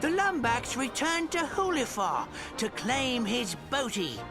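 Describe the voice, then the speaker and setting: Mangy old robot pirate storyteller: high, swooping voice, eager and theatrical, cartoon game delivery. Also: male voice, very animated, a little slow, heavily acted. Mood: dramatic storytelling. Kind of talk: video game cartoon narration and banter. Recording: music underneath.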